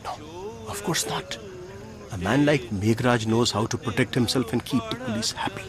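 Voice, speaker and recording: An actor playing a respectable, well-informed local gentleman with an Indian accent, explaining formally in a radio drama. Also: mid-range voice, sing-song, moderate pace, close to an everyday voice. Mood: confiding.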